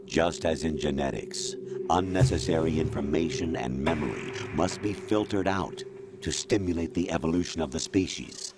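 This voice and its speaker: Very deep, superior artificial intelligence. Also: male voice, very noisy. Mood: grave, lecturing. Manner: measured, condescending, lecturing. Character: coldly superior male artificial intelligence lecturing humanity. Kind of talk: video game cutscene voice acting. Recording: music behind the voice.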